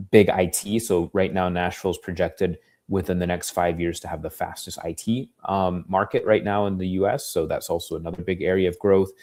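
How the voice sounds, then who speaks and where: A man's voice: low voice, a little mellow. An American man, a university dean, giving an informal, conversational webinar presentation with frequent filler phrases. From United States. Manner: conversational, frequent "you know".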